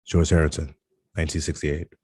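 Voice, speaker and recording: A man's voice: a bass voice, level tone, fairly quick, a little mellow, breathy edge, dry recording, studio quality. A man playing a solemn narrator who recites poetic lyrics in a script reading. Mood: solemn, brooding.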